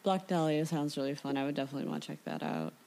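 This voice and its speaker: An American woman with a very low pitch and plenty of vocal fry. She chats casually and has a wry, joking way of putting things. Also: very animated, racing, a little mellow. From Illinois, United States. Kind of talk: casual chat.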